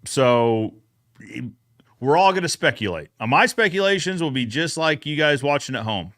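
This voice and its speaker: A man's voice: on the high side, animated, normal speed, fairly bright tone, no room sound, studio quality. A man hosting a casual recap chat, talking conversationally and addressing the viewers directly. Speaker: YouTube host.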